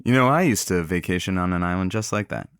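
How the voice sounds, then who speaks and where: A man playing an offbeat, deadpan co-host on a comedy radio show. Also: sits low, fast talker, smooth, no echo, clean studio sound. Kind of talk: improvised comedy radio banter.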